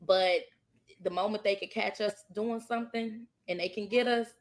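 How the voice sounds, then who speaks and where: An American woman chats as a podcast co-host. Her voice is medium in pitch, and she talks in a casual, halting way, thinking out loud. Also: steady tone. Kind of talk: casual chat.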